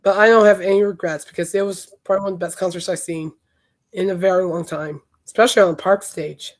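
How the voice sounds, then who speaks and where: A woman hosting a podcast in casual rambling chat, her voice hoarse and raspy from being sick, telling stories with enthusiasm. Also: on the low side, steady tone, quick pace. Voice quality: raspy, worn throat. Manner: chatty, enthusiastic storytelling. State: hoarse, voice shot from illness. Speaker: podcast host.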